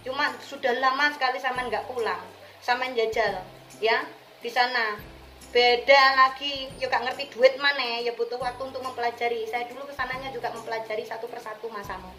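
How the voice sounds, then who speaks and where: A woman's voice: fairly high pitch, steady tone, a little fast, pushed and bright. A woman from Indonesia with an East Javanese accent, chatting casually and colloquially to her viewers in slangy, direct address. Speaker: vlogger, returned migrant worker. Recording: roomy echo with music behind.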